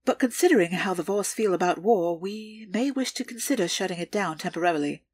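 A woman playing a calculating, deferential underworld information broker in an audio drama, with formal, measured, precise delivery. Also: medium pitch, expressive, a little mellow, husky, dry recording. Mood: businesslike.

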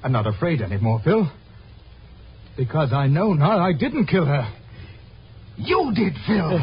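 Haunted mentalist with a broken memory: high, halting, slow delivery, noisy old radio sound. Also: male voice, very animated, dull audio. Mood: resolved, eerie. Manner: halting, repetitive, melodramatic. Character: anguished, guilt-haunted stage mentalist with shattered memory. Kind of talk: 1950s radio drama acting.

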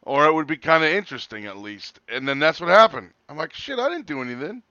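A man's voice: fairly high pitch, very animated, fast talker, sharp tone, no echo. A man in his forties telling anecdotes in casual chat as a talk show co-host, on a dull lo-fi mic. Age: 40s.

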